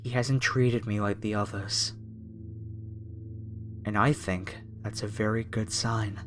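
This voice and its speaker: Guy acting as a creepy zealot cult captor, horror asmr, really slow n menacing, music behind him. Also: fairly low pitch, fairly even intonation. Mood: fervent devotion. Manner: slow, menacing, preacherly, reverent, with deliberate pauses. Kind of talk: horror ASMR roleplay monologue.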